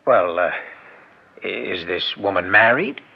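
An actor playing a polite, cautious confidant in an old-time radio drama, speaking deferentially and carefully. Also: male voice, low-pitched, animated, takes it slowly, gravelly, slightly rough, heavily acted. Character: polite, cautious male confidant of his boss. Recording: muffled vintage radio recording.

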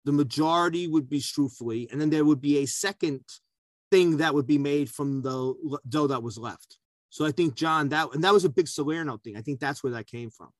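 A fairly high-voiced man co-hosting a relaxed food podcast, chatty and full of jokes and asides. His voice sounds close and dry, with almost no room around it. He tells stories in a loose, rambling way. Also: fairly quick, no echo. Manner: talkative, joking storyteller. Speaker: podcast co-host. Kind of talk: casual food chat.

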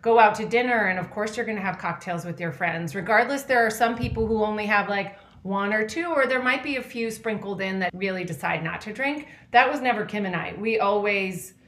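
A woman's voice: mid-range voice, fairly quick, few pauses, fairly bright tone. A chatty woman, telling stories as a podcast co-host. Her voice sounds roomy, with echo around it. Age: 40s. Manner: chatty, storytelling. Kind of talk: casual chat. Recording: echoey room.

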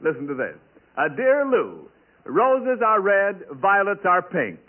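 A man's voice: high voice, big pitch swings, slow. A man working as a radio announcer and comic foil, with hearty, projected 1940s showbiz delivery. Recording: tinny band-limited 1940s radio broadcast.

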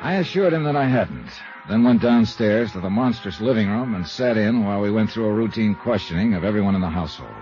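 A man's voice: lowish voice, lively intonation, fairly quick, few pauses, music in the background, lightly acted. An American man playing a cool, wry, hard-boiled private investigator in a 1950s radio drama. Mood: businesslike. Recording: noisy, muffled 1950s radio recording.

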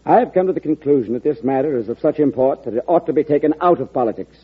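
An actor playing a self-assured, stubborn politician in a 1940s radio drama, speaking formally and firmly. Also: male voice, mid-range voice, expressive, fast, husky. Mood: firm resolve. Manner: declarative, formal, unbending. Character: self-assured, stubborn politician, coolly defying his party. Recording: tinny, narrow old radio recording.

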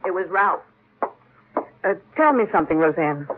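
A woman's voice: fairly low pitch, very animated, moderate pace, noisy background. An actress playing a poised, steely young stepmother in a 1950s radio drama. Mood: controlled, accusing. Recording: muffled vintage 1950s radio recording.

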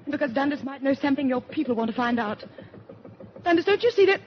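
An actress playing a frightened wife held hostage in a 1940s radio drama, pleading in an anxious, urgent way. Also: female voice, sits high, lively intonation, fast talker. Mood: urgent alarm. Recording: muffled, noisy 1949 radio broadcast.

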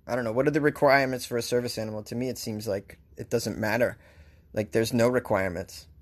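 A man's voice: medium pitch, fairly even intonation, fast. A man with a Boston accent hosting talk radio, ranting sarcastically about the news and laughing often. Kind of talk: opinionated news commentary.

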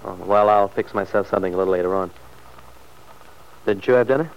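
An actor playing an earnest, apologetic married sergeant in an old radio soap, speaking in a hesitant, solicitous way. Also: male voice, on the low side, fairly quick, natural voice. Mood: concerned, gentle. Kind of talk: vintage radio soap opera acting. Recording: dull, noisy old radio recording.

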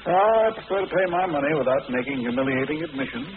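An actor in an old radio drama playing a wry, bantering gentleman of a club. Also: mid-range voice, quick pace, natural voice. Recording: tinny, noisy old radio recording.

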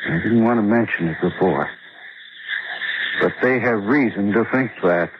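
An actor playing a grave, measured male doctor at a jungle outpost in an old-time radio drama, speaking deliberately. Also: fairly low pitch, close to an everyday voice. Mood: grave, insistent. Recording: noisy, dull old radio recording.

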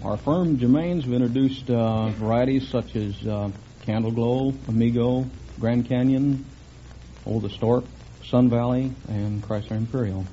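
On a dull vintage radio recording with faint noise, a man from Los Angeles speaks in a dark, mellow voice. He is a quiz contestant giving plain, matter-of-fact answers to the host's questions. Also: medium pitch, a little slow. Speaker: quiz contestant, rose breeder. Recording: muffled old radio recording, slight noise.

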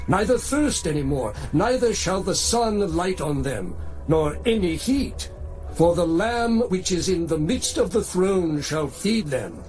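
A man playing a solemn heavenly elder in a dramatized scripture reading, speaking in a measured, grave manner. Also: high voice, a little mellow, low-quality recording. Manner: slow, solemn, measured delivery.

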